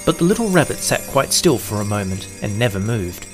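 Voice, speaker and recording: A man reading a children's storybook aloud in a calm, measured, gentle way. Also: fairly low pitch, faint music behind, rough recording. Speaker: narrator. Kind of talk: scripted storybook narration.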